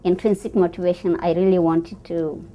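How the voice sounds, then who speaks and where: A woman from northern Pakistan speaking in a recorded interview, with many pauses and fillers. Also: on the low side, flat delivery, muffled recording. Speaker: program participant.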